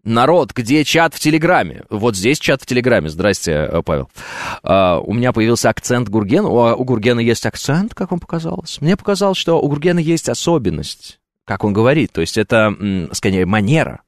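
A man's voice: medium pitch, expressive, fairly quick, no echo, clean studio sound. Wry Russian-speaking man chatting to viewers. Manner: wry, joking, hesitant with fillers. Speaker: streamer reading chat. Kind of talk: casual live stream chat. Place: at home, on camera.

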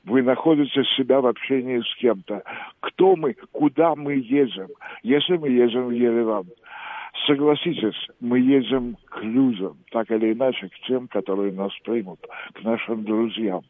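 A man's voice: medium pitch, normal speed, no echo. Man on a tinny phone line. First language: Russian. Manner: digressive, ornate, many hesitation fillers. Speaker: radio caller. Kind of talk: call-in radio monologue.

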